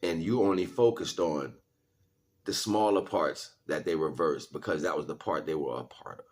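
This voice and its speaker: Very deep, husky-voiced man on a livestream. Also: moderate pace. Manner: slangy, repeats phrases for emphasis. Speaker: livestream host and spiritual teacher. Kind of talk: casual livestream monologue, preaching to viewers.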